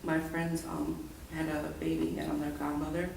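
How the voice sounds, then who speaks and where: Low, creaky-voiced woman, far from the mic. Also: monotone, darkish tone. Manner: hesitant, halting. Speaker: lecture participant. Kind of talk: casual personal sharing. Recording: echoey room, distant mic, clear noise.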